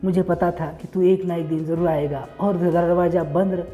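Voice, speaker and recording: Man voicing a mellow, forgiving father. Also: high voice, little pitch movement, normal speed, faint music behind, lightly acted. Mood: tender, reassuring. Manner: tender, reassuring. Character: loving, forgiving father of a grown son.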